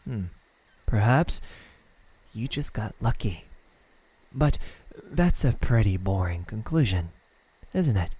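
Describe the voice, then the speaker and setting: A man performs a teasing, smug male demon in an intimate ASMR roleplay. His voice is dark and breathy, on the low side. He taunts very slowly, letting pauses hang with mocking softness. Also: lively intonation, full character voice. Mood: smug menace. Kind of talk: ASMR roleplay, slow intimate villain acting.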